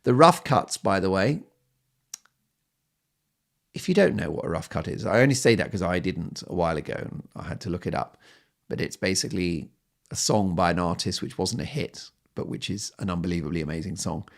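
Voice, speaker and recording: A man's voice: low-pitched, animated, a little fast, gravelly. A man hosting a podcast in a chatty solo monologue, speaking emphatically and with opinion, often building his points up in lists. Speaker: podcast host.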